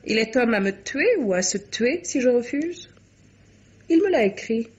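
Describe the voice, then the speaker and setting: An actress playing a haughty, sharp-tongued, romantic kept mistress in theatrical, ironic 1950s French radio drama style. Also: female voice, on the high side, animated, unhurried. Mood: proud. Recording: dull vintage radio recording.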